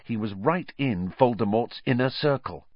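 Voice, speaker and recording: A man's voice: medium pitch, expressive, moderate pace, no echo, lo-fi sound, pitched above the performer's own voice, lightly acted. A man doing a brooding, haunted teenage boy. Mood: grim.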